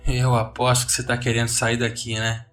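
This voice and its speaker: A man's voice: medium pitch, monotone, brisk, a bit bright, far from the mic, soft background music, close to an everyday voice. A man voicing a contemptuous, jaded man in his thirties who mocks newcomers with weary scorn. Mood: sneering contempt. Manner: sneering, mocking, world-weary.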